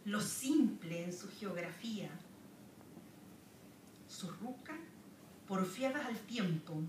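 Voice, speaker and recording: Chilean woman reading her poems real slow at a podium, far-off camera mic, echoey room, some hiss. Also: medium pitch. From southern Chile. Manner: slow, measured recitation with pauses between lines. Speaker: poet. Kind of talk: poetry reading with spoken asides. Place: wood-paneled lecture room, standing at a podium. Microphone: camera far across the room. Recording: roomy, distant, noisy room recording.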